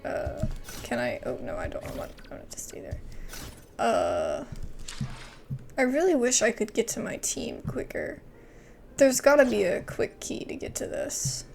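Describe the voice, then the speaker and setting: A woman's voice: fairly high pitch, huge pitch swings, a little slow, vocal fry, pauses often, faint music behind. A woman gaming streamer giving casual, chatty commentary with playful, giggly asides as she plays.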